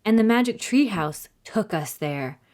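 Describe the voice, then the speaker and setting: A woman voicing a confident, excitable little girl in a children's storybook reading. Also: mid-range voice, measured pace, pitched above the performer's own voice, lightly acted. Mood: matter-of-fact.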